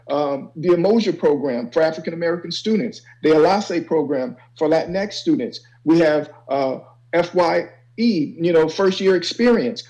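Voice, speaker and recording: A man's voice: on the high side, mellow, rough recording. A man speaking earnestly and conversationally on an informal panel as a guest college counselor, often adding "you know".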